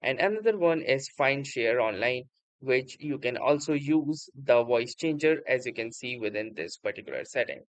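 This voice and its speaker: A man presenting a casual software tutorial, speaking in a halting, slightly stumbling way with repeated stock phrases. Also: mid-range voice, animated, no room sound. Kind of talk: casual screen-recording software tutorial narration.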